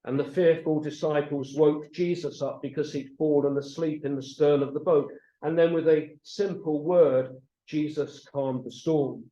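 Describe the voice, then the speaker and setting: A man preaching a sermon, explaining and retelling in a measured way. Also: fairly high pitch, steady tone, mellow. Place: church meeting room, also streamed online. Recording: echoey room, slightly muffled sound.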